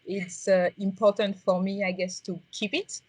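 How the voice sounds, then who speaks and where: A woman with a strong French accent, answering interview questions in a reflective, halting way with many ums and uhs. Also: mid-range voice, level tone, slightly rough, no room sound. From France. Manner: halting, many fillers and restarts.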